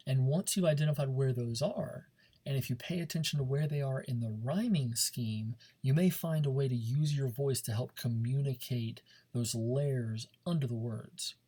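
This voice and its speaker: Husky, mellow male teacher. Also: medium pitch, moderate pace. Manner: informal, coaching, checks understanding with frequent "okay" and "right". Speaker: speech class teacher. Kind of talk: instructional class lesson.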